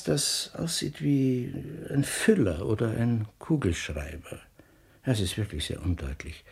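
An actor playing a shrewd, suspicious police inspector in a 1960s German radio crime drama, speaking deliberately with sardonic, insinuating questions. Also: male voice, mid-range voice, creaky, a little mellow, breathy edge, heavily acted. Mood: probing, dry.

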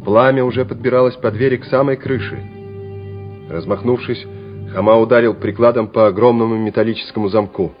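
A male narrator tells a war story for a radio play in a dramatic, scripted delivery. His voice sits at a middle pitch over clear music, and the old recording sounds somewhat muffled. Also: steady tone, fairly quick.

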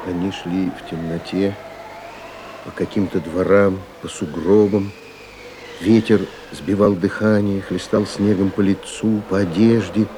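A man narrating a radio drama as a sober storyteller, measured, literary and intent. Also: low voice, little pitch movement. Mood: grave, tense. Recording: noisy vintage radio recording.

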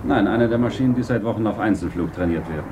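An actor playing an upright, disciplined army pilot in a 1950s German radio drama, frank and formal. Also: male voice, fairly low pitch, fast, background noise, lightly acted. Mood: matter-of-fact.

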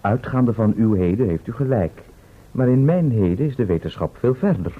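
A muffled old radio play recording of a man acting a calm visitor from the future. He explains precisely in a husky voice. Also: mid-range voice, moderate pace, lightly acted. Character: calm, precise male time traveller from the distant future.

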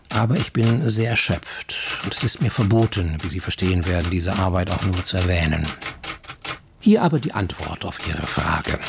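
Low-voiced professor, noisy backdrop. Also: male voice. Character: weary male American professor answering a letter. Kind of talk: letter read aloud in radio drama. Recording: clearly noisy, clicking sounds behind.

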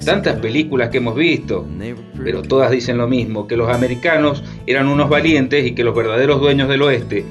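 A man's voice: medium pitch, fairly quick, highs cut off, over music, close to an everyday voice. A man playing a confident, know-it-all explainer, narrating history emphatically in an educational radio drama. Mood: confident, wry. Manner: didactic, emphatic. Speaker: main narrator.

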